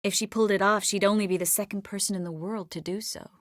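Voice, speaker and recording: An actress voicing a young sorceress in a video game, gentle, earnest and determined, with a measured, expressive delivery. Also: female voice, mid-range voice, dry recording, clean studio sound, natural voice. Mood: calm, matter-of-fact. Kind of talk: video game character voice lines.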